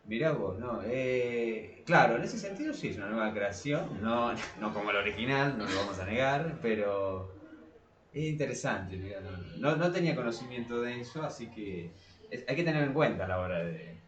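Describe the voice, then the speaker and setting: A man chatting casually as a podcast co-host, in a hesitant, halting way. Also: medium pitch, animated, recorded from a distance, a crowd in the background. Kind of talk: casual chat. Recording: echoey room, away from the mic.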